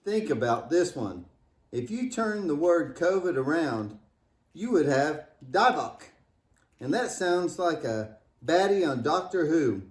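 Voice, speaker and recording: A man's voice: a little high, sing-song, slow. A man playing a cheeky, wisecracking, imaginative boy puppet in a scripted church puppet show, joking and exclaiming playfully. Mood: playful, excited. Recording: echoey room.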